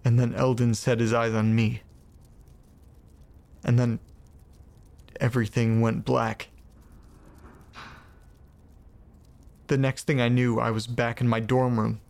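A spooked man in a horror audio drama, mid voice, halting dramatic delivery. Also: mid-range voice, level tone, vocal fry, a little mellow. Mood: shaken, bewildered. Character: shaken man reading out an eerie letter. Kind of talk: audio drama monologue, dramatic first-person storytelling.

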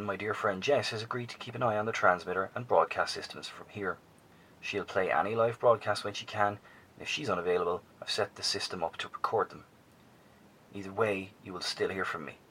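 An actor playing a professor who broadcasts to survivors from a bunker, speaking formally and gravely, often dropping to a hushed tone. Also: male voice, sits low, slight background noise, low-quality recording. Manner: measured, formal, hushed at times. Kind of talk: horror audio drama acting.

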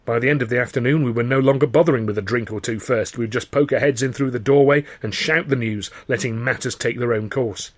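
A man's voice: medium pitch, fast, few pauses. A man narrating his own comic novel as an audiobook, in a wry storytelling manner. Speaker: author and narrator.

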